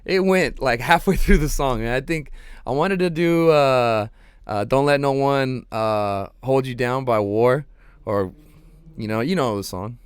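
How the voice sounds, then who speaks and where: A man hosting his own show, chatting casually and rambling with lots of ums and slang, his voice slightly hoarse. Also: mid-range voice, unhurried, no room sound, clean studio sound. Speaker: podcast host. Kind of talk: casual solo livestream chat.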